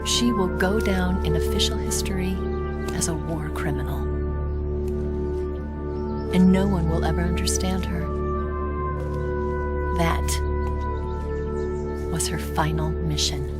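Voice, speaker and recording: An actress playing a solemn, sorrowful female spy in a video game, speaking gravely and deliberately. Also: fairly low pitch. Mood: tender, subdued. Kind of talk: dramatic video game monologue. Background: loud orchestral-style background music.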